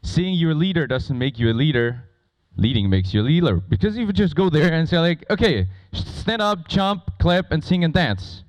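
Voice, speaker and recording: A man who is not a native English speaker moderating a conference on stage, talking informally and playfully with a loud, projecting voice. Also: on the high side, animated, normal speed, hardly any pauses, poor audio. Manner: playful, bantering with audience. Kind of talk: informal live stage presentation. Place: conference stage before a large audience.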